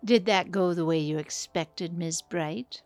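An actress playing a stern studio boss in an audio drama, measured, cutting and condescending. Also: female voice, lowish voice, a little mellow, faint music behind. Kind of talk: scripted audio drama acting.

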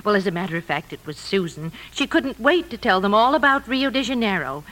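Fretful grandmother, animated delivery. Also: mid-range voice, fairly quick, low-quality recording. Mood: hesitant, uneasy. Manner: solicitous, hesitant. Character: concerned, fretful mother of a grown daughter, a grandmother. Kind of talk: 1950s radio drama acting.

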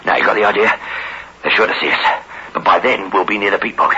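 An actor playing a tough, commanding convict in a 1960s radio thriller, giving terse, bossy orders with urgency. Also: male voice, on the low side, quick pace, lightly acted. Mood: urgent commanding. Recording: muffled, noisy old radio recording.

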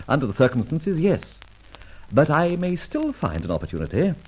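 An actor playing a suave, wry, self-assured gentleman thief in a vintage radio drama, with an urbane manner. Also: fairly high pitch, wildly swooping pitch, normal speed, husky, full character voice. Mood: sly, amused. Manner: urbane, wry, clipped commands. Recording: dull vintage radio recording, music behind.

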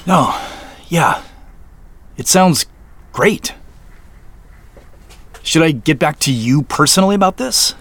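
Audio drama role: hesitant, awkward professional man, slow, halting delivery with expressive range. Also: on the high side, exaggerated voice. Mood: polite, hesitant. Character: Joseph, hesitant, apologetic middle-aged professional man with dad jokes. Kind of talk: naturalistic audio drama acting.

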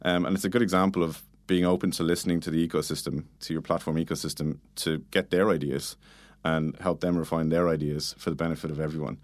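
A man's voice: a bass voice, fairly even intonation, quick pace, no room sound. A man being interviewed, explaining things casually and informally with lots of "like" and "you know". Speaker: interview guest, product manager. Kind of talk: casual conversational interview answers.

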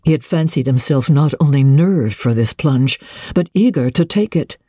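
A woman reads literary fiction in a husky, dark-toned voice. Her delivery is measured and dramatic, with lively rises and falls. The recording is dry and close. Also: sits low, dry recording. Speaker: audiobook narrator.

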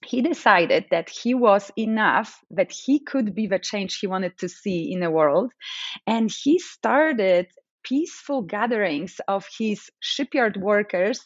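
A Polish-accented woman tells her story with energy and feeling, often pausing on small fillers. The sound is dry and a little dull, as if recorded through a modest mic. Her voice sits in the middle of the female range. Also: medium pitch, lively intonation, no echo. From Poland. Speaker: guest, mindset coach. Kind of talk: storytelling interview answer.

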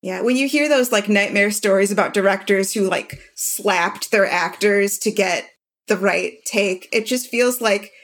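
A woman's voice: medium pitch, a little slow. A woman talking casually in a group interview conversation.

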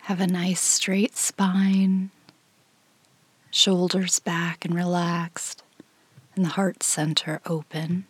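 A woman leading a guided meditation in a soft, breathy, near-whispered voice, gently and without hurry, leaving long pauses. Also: lowish voice, flat delivery, no room sound. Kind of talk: guided meditation, slow calm instruction.

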